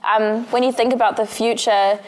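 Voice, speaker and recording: A woman's voice: a little high, steady tone, normal speed, a little mellow, low-quality recording. Casting: enthusiastic female interviewer, gushing praise, meandering questions. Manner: upbeat, effusive, rambling questions. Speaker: interview host.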